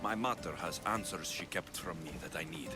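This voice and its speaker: An actor playing a determined, grieving Greek mercenary in a video game, speaking earnestly and tersely. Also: male voice, low-pitched, fairly quick, music in the background, lightly acted. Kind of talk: video game cutscene acting.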